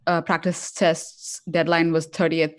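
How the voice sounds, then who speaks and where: Female host, low-pitched for a woman, upbeat and chatty, on a live stream. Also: flat delivery, measured pace. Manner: chatty, upbeat, filler-heavy.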